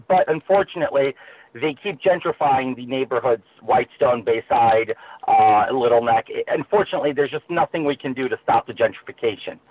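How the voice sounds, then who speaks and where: A man's voice: medium pitch, slightly rough. A man, a political candidate speaking passionately and combatively as a guest on a talk radio show. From Queens, New York. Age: 30s. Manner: impassioned, combative. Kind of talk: talk radio phone interview. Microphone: telephone. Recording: tinny phone line.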